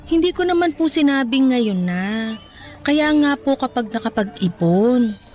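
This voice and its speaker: Radio-drama role: young mother, high-voiced, polite and pleading. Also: animated, unhurried, darkish tone, soft background music. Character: young new mother, patient and hurt. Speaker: actor.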